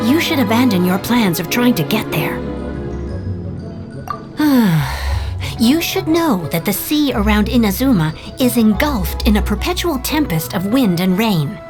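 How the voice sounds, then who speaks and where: A man voicing a calm, reflective young wandering samurai who speaks softly and with care in video game dialogue. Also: very high voice. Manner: soft-spoken, measured, reflective. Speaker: wanderer from abroad. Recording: game audio with music underneath.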